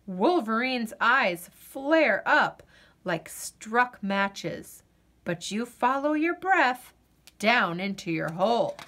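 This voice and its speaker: A woman with a fairly high voice reads a picture book aloud for children. Her pitch moves in wide, animated swings while she takes her time over each phrase. The delivery is gentle and expressive, with deliberate pauses for drama.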